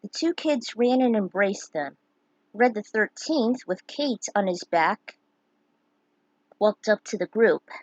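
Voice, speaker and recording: A woman reading scripted fan fiction narration aloud, in a slightly halting manner. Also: medium pitch, lively intonation, dry recording, low-quality recording.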